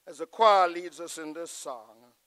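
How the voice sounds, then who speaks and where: A middle-aged pastor preaching a sermon from the pulpit of a large church, swelling to loud emphatic peaks and dropping to a hushed prayerful close. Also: male voice, on the high side, a little slow, smooth, no echo. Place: large church sanctuary with choir behind. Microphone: pulpit mic.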